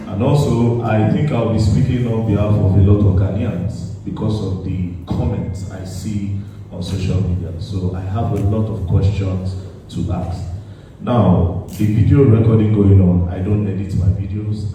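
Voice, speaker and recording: A man's voice: low voice, fairly even intonation, moderate pace, mellow. A man hosting an interview in a chatty, loose way, restarting his questions as he goes. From Nigeria. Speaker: interviewer and video host. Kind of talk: casual interview. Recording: echoey room, voice far from the mic, faint noise.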